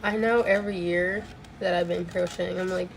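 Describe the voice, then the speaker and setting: A woman's voice: on the low side, fairly even intonation, a little fast, vocal fry, slight background noise, rough recording. Young woman with a cold-touched voice. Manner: rambling, lots of "like" fillers. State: voice a bit off from a cold. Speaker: crochet streamer. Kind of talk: casual chat while crafting. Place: home crafting setup. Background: faint music.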